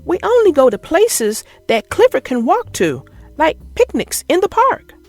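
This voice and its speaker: A woman narrating a children's picture book as an expressive, theatrical read-aloud. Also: high-pitched, unhurried, soft background music.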